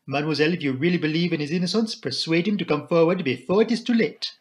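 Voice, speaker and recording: A man voicing an elderly, courteous detective in an audiobook, with a formal, imploring, deliberate delivery. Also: fairly high pitch, pitched above the performer's own voice, lightly acted. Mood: urgent pleading. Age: older. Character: elderly Belgian male detective, courteous, earnest, commanding.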